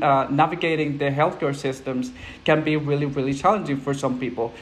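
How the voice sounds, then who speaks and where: A young man from Puerto Rico, a native Spanish speaker with a Puerto Rican accent, speaking earnestly as a panel guest over a video call with wired earphones. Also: fairly high pitch. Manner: earnest, thoughtful, measured. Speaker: program manager, panel guest. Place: indoors at home, plain wall. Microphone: earphone headset on video call.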